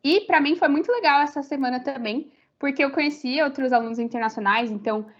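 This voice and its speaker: Young Brazilian woman with a high, slightly muffled voice. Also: fast talker. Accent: Brazilian Portuguese. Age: young adult. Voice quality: many fillers, rambling restarts. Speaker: university student guest. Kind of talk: casual interview chat.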